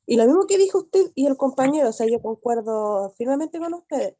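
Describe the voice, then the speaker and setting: A woman's voice: high voice, expressive, a little fast, mellow, dry recording. A woman in her twenties with a Chilean accent, an adult student speaking earnestly in class, with many restarts. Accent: Chilean Spanish. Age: 20s. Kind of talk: class discussion.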